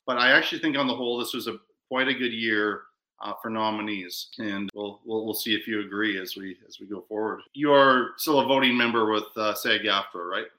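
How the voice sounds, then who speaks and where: Bright-voiced man, halting podcast host. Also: fairly low pitch, echoey room, rough recording. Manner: halting, with frequent restarts and stammered repeats. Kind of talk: casual chat about film awards.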